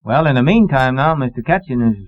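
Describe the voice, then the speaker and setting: A man's voice: mid-range voice, lively intonation, quick pace, muffled recording. A man moderating a panel interview in a conversational, wry, prompting way, on an old acetate disc recording.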